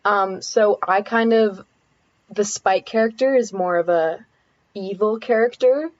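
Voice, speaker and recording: A woman's voice: mid-range voice, unhurried, a little mellow, lo-fi sound. A teenage girl answering interview questions as a student guest, eager and giggly, with lots of fillers. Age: teens.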